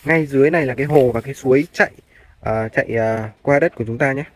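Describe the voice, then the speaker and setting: A male property agent talks viewers through a piece of farmland in a polite sales manner, at a middling pitch. He is outdoors on a handheld phone, with faint noise behind his voice. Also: fast talker. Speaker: real estate agent. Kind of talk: walk-through property tour narration. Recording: clear background noise, outdoor phone video.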